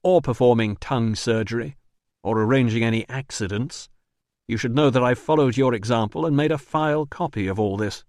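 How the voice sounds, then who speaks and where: A man's voice: medium pitch, a little fast, darkish tone, no room sound, clean studio sound, lightly acted. A man doing a cool, sardonic investigator. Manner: level, sardonic. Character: calm, dry-witted male investigator. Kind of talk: audiobook dialogue.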